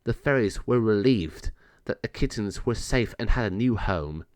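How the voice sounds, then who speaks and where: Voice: mid-range voice, expressive, moderate pace, a little mellow, no echo, clean studio sound. A narrator reading a children's story aloud in a scripted read-along style.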